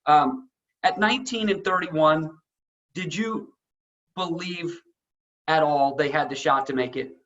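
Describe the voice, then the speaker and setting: Effusive male interviewer, slightly high, muffled audio. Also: fairly bright tone. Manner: effusive, halting repetitions. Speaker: show host, interviewer. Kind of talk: casual interview chat.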